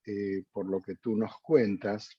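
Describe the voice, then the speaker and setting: Slow, husky-voiced male host. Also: fairly low pitch, fairly even intonation, no echo. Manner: measured, with frequent fillers. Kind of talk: radio interview hosting. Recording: dull dry lo-fi sound.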